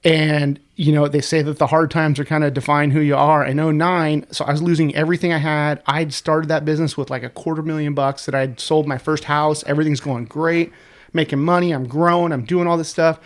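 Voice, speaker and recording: A man in his forties with a congested, sniffly voice, telling stories in a casual, profane podcast chat as a guest. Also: a little high. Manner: fast, profane, animated storyteller. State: congested, sniffling from a sinus infection. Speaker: guest, website co-creator.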